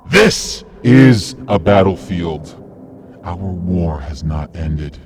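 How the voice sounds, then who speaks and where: A man playing a cold, self-righteous vigilante killer in a radio drama, speaking in a measured, menacing, lecturing way. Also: deep voice, animated, background noise, full character voice. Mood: cold menace.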